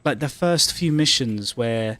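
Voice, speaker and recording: A man's voice: mid-range voice, animated, a little slow, bright, forceful tone. A man co-hosting a live game stream in casual, rambling, sweary chat. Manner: rambling, hesitant phrasing, sweary. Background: game music.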